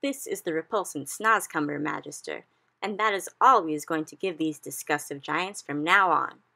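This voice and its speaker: A woman's voice: low voice, moderate pace, vocal fry, sharp tone, full character voice. A woman voicing a big kindly male giant who talks in cheerful, mangled grammar. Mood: excited. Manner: folksy, ungrammatical, exclamatory.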